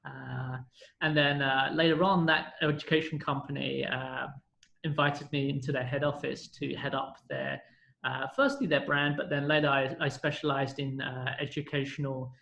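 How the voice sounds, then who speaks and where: A man's voice: mid-range voice, level tone, moderate pace, vocal fry, bright, forceful tone, highs cut off. A British man from London in his thirties, a podcast guest who tells his story in a rambling, self-correcting way. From London, England. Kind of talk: casual storytelling interview. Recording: echoey room.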